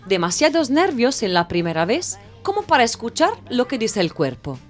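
A woman playing a wry, excitable narrator in an audio drama, telling the tale like a running commentary. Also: a little high, moderate pace. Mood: wry narrating. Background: music.

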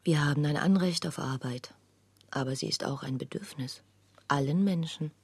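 A woman worker standing on her rights in a literary radio play. Her voice is lowish, very husky and recorded dry. She narrates on behalf of her colleagues. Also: moderate pace, a little mellow, no room sound. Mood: earnest.

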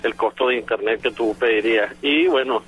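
A man's voice: mid-range voice, brisk, breathy edge, soft background music. A man calling in by mobile phone, rambling with lots of fillers. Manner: rambling, many fillers like "bueno" and "o sea". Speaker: phone-in guest. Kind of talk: casual chat by phone. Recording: dull phone line.

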